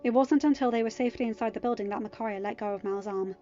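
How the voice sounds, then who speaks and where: A woman's voice: fairly high pitch, fast talker, dark tone, lo-fi sound, soft background music. A woman narrating a scripted piece of fiction as an audiobook reader.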